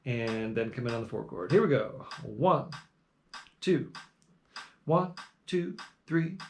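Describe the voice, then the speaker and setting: A man teaching a live bluegrass jam lesson, calmly explaining the arrangement step by step and counting the band in. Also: medium pitch, slight background noise. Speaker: guitar teacher leading a jam. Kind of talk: live online music lesson. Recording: loud bluegrass guitar playing along.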